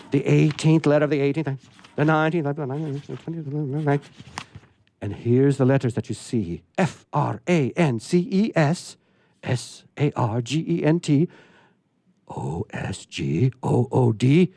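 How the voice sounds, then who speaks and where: A man's voice: mid-range voice, animated, moderate pace, mellow, no echo. A man hosting a live radio theatre show, chatting and reading aloud in a rambling, playful, theatrical way.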